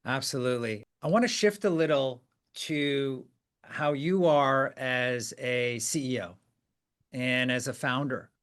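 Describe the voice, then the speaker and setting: A man's voice: mid-range voice, unhurried, a bit bright, clean tone. A man hosting an interview, chatting in an affirming way with frequent "hmm"s and "wow"s. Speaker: podcast host, company CEO. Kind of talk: conversational interview.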